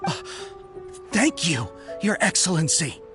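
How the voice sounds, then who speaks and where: Humble loyal soldier in a game, formal, pitch moves around a lot, music under it. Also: sing-song, slow pace. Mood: bewildered. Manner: formal, deferential. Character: contrite, dutiful soldier, deferential and earnest. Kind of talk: scripted video game voice acting. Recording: music behind the voice.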